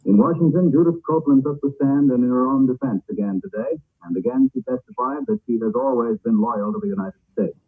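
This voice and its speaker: A man's voice: mid-range voice, fairly quick, hardly any pauses, slightly rough. A man reading the news formally in 1940s radio style, on a muffled old broadcast recording. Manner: formal, declarative. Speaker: radio newscaster.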